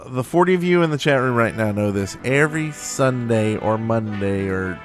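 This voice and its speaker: A man's voice: mid-range voice, lively intonation, moderate pace. Guy hosting a podcast, joking around casually, bit of music behind him. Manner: joking, playful. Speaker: podcast host.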